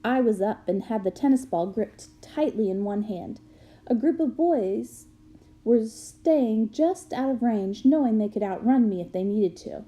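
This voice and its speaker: A woman reading a book aloud as an expressive narrator. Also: a little high, measured pace, muffled recording. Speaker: reader of a children's book aloud.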